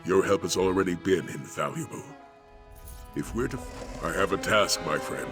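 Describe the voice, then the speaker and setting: An actor playing a steadfast, pragmatic dragon hunter in a video game, with a formal, earnest delivery. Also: male voice, deep voice, animated, moderate pace, music in the background. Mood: earnest, determined. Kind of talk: dramatic video game dialogue.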